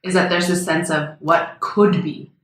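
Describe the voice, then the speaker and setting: A woman's voice: on the low side, little pitch movement, measured pace. A woman co-hosting a casual interview podcast, attentive and affirming in the way she talks. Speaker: podcast co-host. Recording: roomy, echoing sound.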